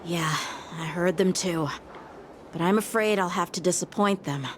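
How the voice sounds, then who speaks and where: A woman's voice: lowish voice, normal speed, noisy background, close to an everyday voice. A woman voicing an earnest, dutiful teenage boy knight lieutenant in a game, speaking formally and conscientiously. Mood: earnest, resolute. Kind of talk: acted game story dialogue.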